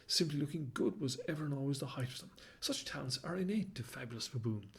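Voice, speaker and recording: A man's voice: mid-range voice, expressive, fast, breathy edge. A man voicing a flamboyant, fashion-mad male baboon who talks in pompous, theatrical rhymes. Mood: boastful. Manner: pompous, sing-song rhyming, fussy and dramatic. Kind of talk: storybook character voice.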